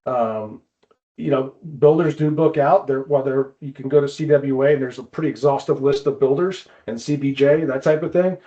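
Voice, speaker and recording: A man's voice: mid-range voice, normal speed, warm tone. A man giving an informal explanatory presentation in a conversational way, on slightly dull lo-fi audio.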